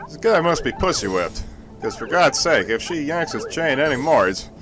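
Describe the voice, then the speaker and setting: A man's voice: fairly high pitch, very animated, moderate pace. A man doing casual, joking game commentary with a wordy, playful way of telling things. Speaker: let's play co-commentator.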